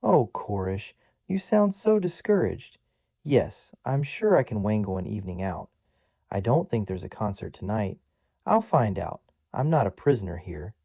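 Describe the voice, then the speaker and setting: A man voicing a bright, eager young woman, talking with gushing enthusiasm in an audiobook. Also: lowish voice, expressive, normal speed, vocal fry, no echo, studio quality.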